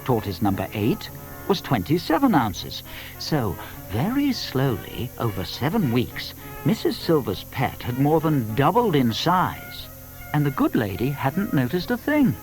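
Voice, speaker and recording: A British man narrating a children's audio drama as a wry, measured storyteller. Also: medium pitch, huge pitch swings, soft background music, poor audio.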